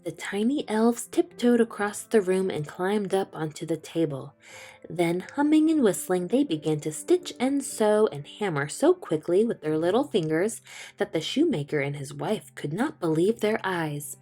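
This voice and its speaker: A woman reading a children's storybook aloud, slow, gentle and expressive. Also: fairly low pitch, husky. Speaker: children's book reader. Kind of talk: storytime read-aloud narration.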